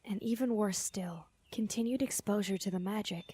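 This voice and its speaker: A calm but firm mother who guards dark magic played by a woman in a fantasy audio drama. Her medium-pitched voice is serious and deliberate, with a protective weight to it. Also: husky, dry recording, natural voice. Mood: grave, gentle.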